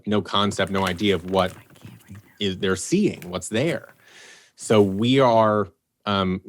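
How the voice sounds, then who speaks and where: A man talking casually in an interview as an audio drama director, explaining with acted-out examples. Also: fairly low pitch.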